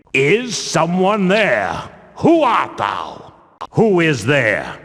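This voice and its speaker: Zealous, declaiming medieval temple guard in reverb. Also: male voice, mid-range voice, vocal fry, fairly bright tone, breathy edge, full character voice. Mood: suspicious. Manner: archaic pompous speech, declaiming. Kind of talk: theatrical video game voice acting. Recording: long cavernous reverb.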